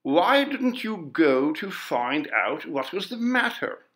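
A man's voice: fairly high pitch, sing-song, slow, pitched above the performer's own voice, exaggerated voice. A man voicing a pompous male coroner who sharply questions a witness in a read-aloud ghost story. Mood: stern. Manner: sharp, lecturing, indignant.

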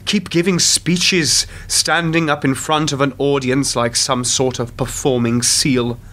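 Slow-spoken, guarded spy played by a man. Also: medium pitch, fairly even intonation, fairly bright tone, reverberant, far from the mic, natural voice. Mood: indignant. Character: weary, well-informed male ex-intelligence insider, uneasy and guarded. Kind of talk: radio drama acting.

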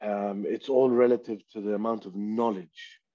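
A man from Saudi Arabia in his thirties, speaking slowly and reflectively as an artist guest on a video call interview, sometimes talking about himself in the third person. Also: fairly low pitch, steady tone, mellow. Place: small room indoors. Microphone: headset on video call. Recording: dull lo-fi call audio.

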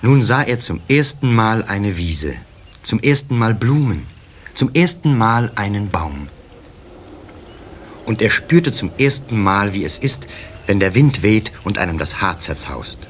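A man narrating a children's radio play in a measured storyteller's delivery. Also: lowish voice, very animated. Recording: slightly hissy old cassette recording.

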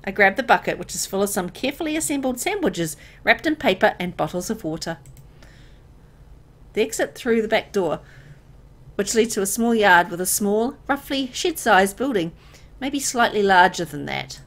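A woman playing an anxious, uneasy young woman in a horror visual novel, hesitant and expressive. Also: mid-range voice, moderate pace. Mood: subdued, uneasy. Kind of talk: visual novel dialogue and first-person narration.

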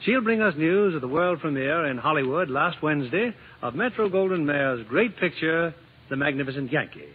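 High-pitched male vintage radio host. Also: lively intonation, normal speed. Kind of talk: scripted 1950s radio hosting. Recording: muffled, noisy old radio broadcast.

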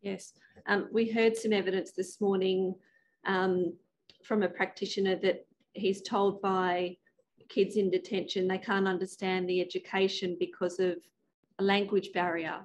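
A woman puts formal questions to a witness. Her delivery is very level and deliberate, with carefully built phrases. A faint noise sits behind her voice. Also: medium pitch, little pitch movement, poor audio. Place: indoor hearing room.

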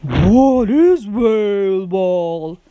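A woman's voice: medium pitch, lively intonation, very slow, mellow, no room sound. A woman voicing a huge, lonely, polite male whale in a children's storybook, with a plaintive manner. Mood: curious. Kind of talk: children's storybook read-aloud.

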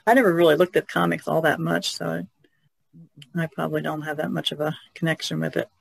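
A woman's voice: low voice, fairly even intonation, fairly quick, vocal fry, slightly rough, no room sound, rough recording. A woman co-hosting a podcast, chatting casually in a tentative, hedging manner. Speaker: podcast co-host. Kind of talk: casual chat.

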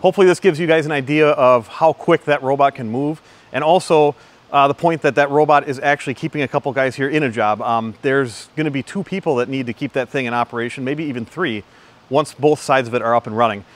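Fast-talking man in a fab shop. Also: medium pitch, soft background music. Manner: chatty, quick and upbeat. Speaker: company video host. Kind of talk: casual shop walkthrough vlog. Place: large metal fabrication shop, indoors. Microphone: phone camera, selfie and standing shots.

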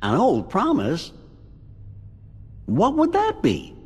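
An actor voicing a gentle, concerned cartoon animal companion with a raspy voice in a video game. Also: male voice, high voice, wildly swooping pitch, slow pace, exaggerated voice. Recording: long hall reverb.

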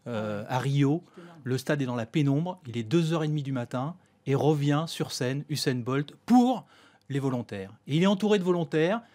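A man's voice: medium pitch, lively intonation, normal speed, mellow. A man on a sports radio panel, telling an anecdote in a studio. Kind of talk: anecdotal storytelling. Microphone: studio desk microphone.

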